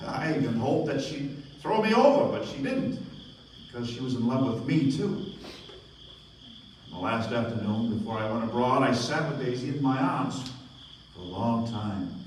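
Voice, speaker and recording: Guy doing a lovesick hopeful gentleman, radio play, mid pitch, big echo, bit of noise. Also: natural voice. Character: lovestruck, wistful young gentleman clinging to hope. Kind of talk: live radio play acting. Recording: echoey distant stage recording, faint noise.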